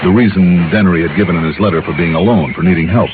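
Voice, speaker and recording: A man's voice: low-pitched, noisy background, lightly acted. An actor playing a wry, easygoing insurance investigator, narrating his case conversationally in 1950s radio detective style. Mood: wry, vivid storytelling. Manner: conversational, folksy asides, hesitant pauses. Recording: tinny old radio broadcast recording.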